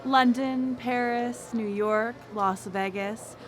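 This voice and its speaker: A woman's voice: fairly high pitch, fairly even intonation, takes it slowly, crowd noise. A woman playing a bold, worldly, flirtatious small-town woman on a date in a live radio drama, with a teasing, suggestive manner. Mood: seductive.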